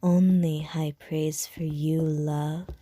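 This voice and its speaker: A woman's voice: low-pitched, level tone, slow, background noise. A woman voicing a flirtatious, predatory female vampire in an ASMR roleplay, teasing in sly, often whispered innuendo.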